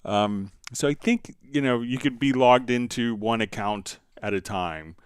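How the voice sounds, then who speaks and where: Male tutorial host, resonant lower voice, unscripted and halting, recorded dry. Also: clean tone, no room sound. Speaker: livestream host answering viewer questions. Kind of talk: unscripted software tutorial, casual explaining.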